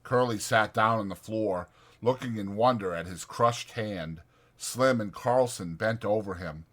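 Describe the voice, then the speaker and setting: A man's voice: lowish voice, unhurried, fairly bright tone. A man narrating a novel as a dramatized audiobook.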